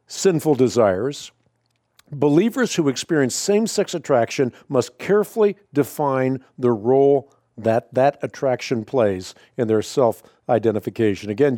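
A man hosting a radio talk show, speaking in a measured, emphatic way and stressing key words. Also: medium pitch, dark tone, no room sound, studio quality. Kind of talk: scripted monologue then interview.

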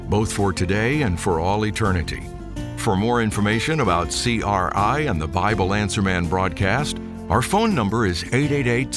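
A man reading a scripted radio show introduction in a smooth announcer manner. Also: lowish voice. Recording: announcer voice over guitar music bed.

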